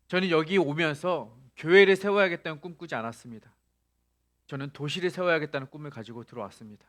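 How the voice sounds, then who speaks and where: A man's voice: on the high side, a bit bright. A male pastor preaching a sermon, asking the congregation rhetorical questions and rising to emphatic peaks.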